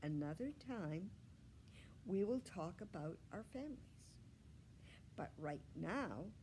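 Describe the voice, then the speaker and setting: A woman's voice: lowish voice, animated, takes it slowly, warm tone, a little hiss or hum, poor audio. A woman reading aloud as a patient, pleasant female primary school teacher, putting questions to a class in an instructive way.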